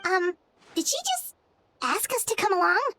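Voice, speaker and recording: An actress voicing a tiny, blunt, chatty fairy companion in a video game, with a squeaky childlike voice. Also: female voice, lively intonation, normal speed, pushed and bright, a little hiss or hum, exaggerated voice. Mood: puzzled. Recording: music under the voice.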